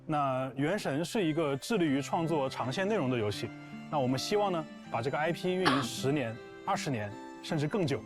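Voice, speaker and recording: A man's voice: on the high side, expressive, fairly quick. A man speaking as a game developer, giving a prepared presentation to players. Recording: music playing behind the voice. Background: plucked guitar music.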